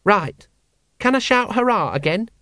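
A man's voice: sits high, expressive, a little slow, sharp tone, no room sound, studio quality, pitched above the performer's own voice, exaggerated voice. A man voicing a small, naive child who chats cheerfully and matter-of-factly about gruesome things in a storybook. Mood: eager.

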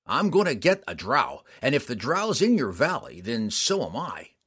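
A man voicing a surly male mountain man bounty hunter with a slow, growling, sneering delivery. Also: medium pitch, wildly swooping pitch, fairly bright tone, husky, full character voice.

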